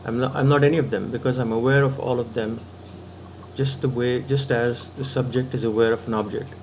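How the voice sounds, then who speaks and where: A man's voice: mid-range voice, steady tone, a little fast, a little mellow, slight background noise. Man reasoning step by step, patient. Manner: patient, step-by-step reasoning, frequent "right?" checks. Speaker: spiritual teacher. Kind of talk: reasoned philosophical talk to camera.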